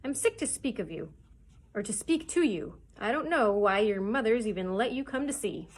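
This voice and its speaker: A woman voicing a bold, wily male pirate captain in a storybook, with rough, cajoling sailor talk. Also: mid-range voice, animated, normal speed, fairly bright tone. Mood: angry, scolding.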